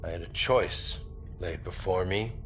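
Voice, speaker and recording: An actor playing a stern older clan warrior mentor in a game cutscene, speaking gravely and deliberately with weighty pauses. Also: male voice, sits low, fairly bright tone, soft background music. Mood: grave, reflective. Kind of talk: dramatic game cutscene acting.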